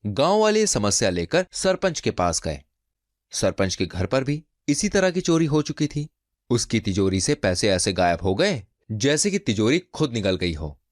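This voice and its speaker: A man's voice: mid-range voice, big pitch swings, moderate pace, no room sound, studio quality. A man narrating a scripted children's folk tale as a storyteller.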